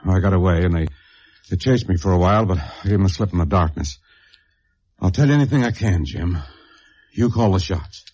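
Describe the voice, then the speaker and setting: Deep, husky, battered male informant. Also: a little mellow. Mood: shaken, resigned. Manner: halting, weary. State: hurt and winded. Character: battered, guarded male party member turned informant. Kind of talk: 1950s radio drama acting. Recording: dull vintage radio recording.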